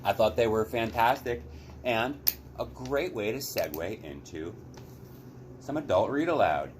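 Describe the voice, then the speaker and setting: A man's voice: medium pitch, animated, a little slow, slight background noise. A man narrating a story aloud expressively as a read-aloud host.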